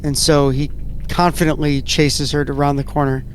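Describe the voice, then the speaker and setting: A man playing a tabletop horror game, narrating his character's thoughts and actions deliberately. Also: on the high side, little pitch movement, a little hiss or hum. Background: background music.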